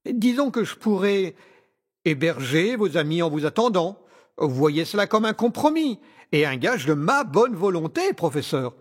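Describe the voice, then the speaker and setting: A man's voice: high voice, very animated, measured pace, full character voice. A man playing a cunning, courtly scientist villain in an audio drama, speaking in a formal, theatrical, condescending way with veiled menace. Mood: solemnly persuasive. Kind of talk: scripted audio drama acting.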